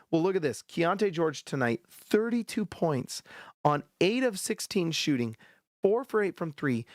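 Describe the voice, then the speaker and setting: A man hosting a post-game basketball show, ranting bluntly and heatedly with a sore, hoarse throat. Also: a little high, no echo, clean studio sound. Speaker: sports podcast host.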